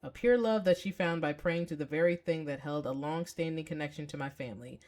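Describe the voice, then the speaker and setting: Woman playing young man, visual novel hero, lovelorn, bitter, wistful, sardonic, slightly low voice. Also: brisk, darkish tone, natural voice. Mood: wistful, brooding.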